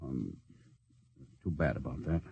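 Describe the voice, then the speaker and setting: A man's voice: a bass voice, lively intonation, a little slow, creaky, breathy edge, heavily acted. A man playing a stern, short-tempered spaceship captain with clipped, commanding delivery in a 1950s radio drama. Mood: calm, subdued. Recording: dull old radio recording.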